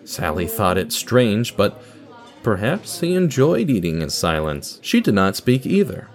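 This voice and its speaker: Low-voiced, expressive man narrating a story. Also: unhurried. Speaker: narrator of an audio drama. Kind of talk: dramatic scripted story narration.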